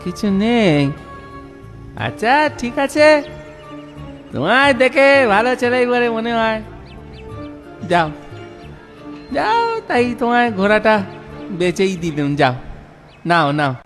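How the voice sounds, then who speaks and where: Crafty village horse seller for a cartoon, played by a man: high male voice, wheedling and folksy, music behind. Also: sing-song, slow pace, pauses often, fairly bright tone, exaggerated voice. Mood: sly, bargaining. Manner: folksy, wheedling, repeating words. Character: crafty grumbling horse owner out to sell. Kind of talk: Bengali fairy-tale cartoon dubbing.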